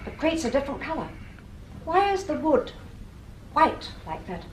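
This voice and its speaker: A young female student in a radio play. Her voice is high, eager and inquisitive. The recording has clearly audible noise. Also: sing-song, normal speed. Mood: puzzled, uneasy. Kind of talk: radio drama character acting.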